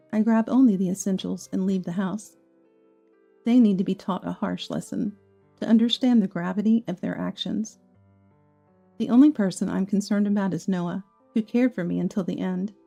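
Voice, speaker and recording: A woman with a gravelly voice narrating a scripted first-person story in a calm, steady reading. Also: medium pitch.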